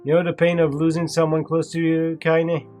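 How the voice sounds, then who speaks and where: A rough-tongued, fierce little old grandmother, as a man reads her lines from a storybook. She talks bluntly and coarsely, a touch higher and louder than his reading voice. Faint instrumental music plays behind. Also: completely flat delivery, normal speed, lightly acted. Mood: stern tender.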